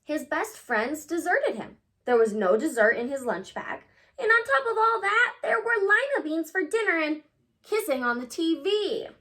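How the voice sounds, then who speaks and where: A woman with a high voice reads a children's picture book aloud. Her delivery swings widely in pitch, and she leans dramatically on key words. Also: very animated, moderate pace, bright, forceful tone.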